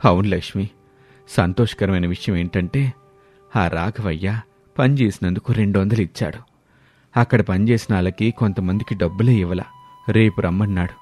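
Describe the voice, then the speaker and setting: A man with a low, mellow voice plays a hardworking husband in a storybook drama. He talks earnestly and gently, and soft music runs underneath. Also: normal speed, long pauses. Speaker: voice actor playing the husband.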